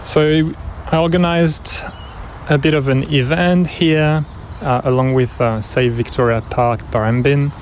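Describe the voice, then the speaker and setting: A man's voice: a little high, steady tone, a little slow. Guy from france chatting in a park, lots of ums, traffic noise behind him. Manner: hesitant, many fillers and restarts. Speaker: interviewee, event organiser. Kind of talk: casual outdoor interview. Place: outdoors in a city park. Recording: noisy outdoor field recording. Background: traffic and city ambience.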